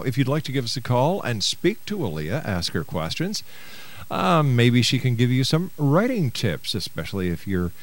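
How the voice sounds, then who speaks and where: Low-pitched male radio host. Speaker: radio talk show host. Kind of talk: radio interview and announcing. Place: radio studio.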